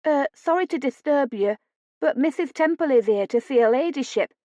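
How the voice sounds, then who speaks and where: A woman narrator puts on a timid young maid for a period novel. The voice sits high and sounds flustered, eager and very respectful. The recording is dry. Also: expressive, moderate pace, warm tone, no echo, dull audio. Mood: apologetic, nervous. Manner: deferential, flustered.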